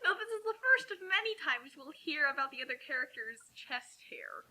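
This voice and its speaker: A woman's voice: sits high, big pitch swings, brisk, sharp tone. A woman giving casual, opinionated, sarcastic comic commentary as a co-reader.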